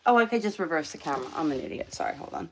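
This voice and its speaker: A woman's voice: deep voice, wildly swooping pitch, rapid-fire, a little hiss or hum. A woman casually chatting on a live stream from her home, rambling with many fillers. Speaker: livestream host. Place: home room.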